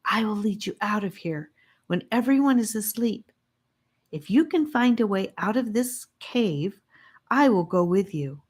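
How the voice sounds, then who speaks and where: Give me a woman doing a little child whispering urgent instructions in a storybook, slow. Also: medium pitch, lively intonation, breathy edge, voice pushed up. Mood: hushed. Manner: hushed, urgent.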